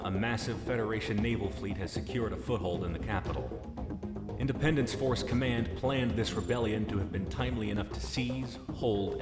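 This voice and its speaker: A man's voice: on the low side, lightly acted. An actor playing a male military commander delivering a formal, urgent mission briefing in a video game. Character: stern male military commander giving orders. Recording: reverberant game audio with music. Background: game music.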